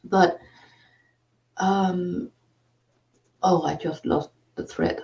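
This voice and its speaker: Danish-accented woman host, slow and halting, gravelly tone, distant mic with dull lo-fi sound. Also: lowish voice, fairly even intonation, a little mellow. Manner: halting, many fillers. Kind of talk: casual conversation.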